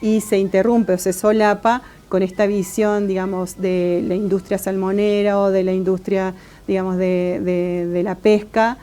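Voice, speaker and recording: A woman with an Argentine accent, a guest academic answering interview questions in a measured, thoughtful way, with many fillers and restarts. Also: mid-range voice, little pitch movement, warm tone. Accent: Argentine Spanish.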